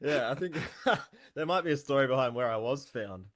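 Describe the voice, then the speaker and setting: A man from Western Australia with an Australian accent, a podcast guest talking in a relaxed, easygoing way. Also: mid-range voice, fairly quick. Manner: laid-back, laughs easily. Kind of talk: casual interview chat.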